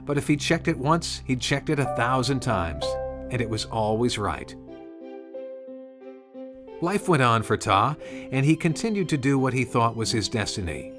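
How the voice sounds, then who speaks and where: Man, mid-pitched voice, storybook narration, scripted delivery, music and jingle bells behind. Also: big pitch swings, normal speed, long pauses.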